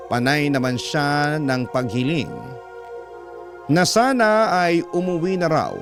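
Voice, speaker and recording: A man with a Filipino accent reading a letter aloud as dramatic scripted radio storytelling. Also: mid-range voice. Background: background music.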